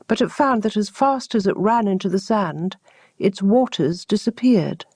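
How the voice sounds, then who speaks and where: A woman narrating a traditional teaching tale as a storyteller, with measured, deliberate phrasing. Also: mid-range voice, lively intonation, darkish tone, breathy edge, dry recording, studio quality.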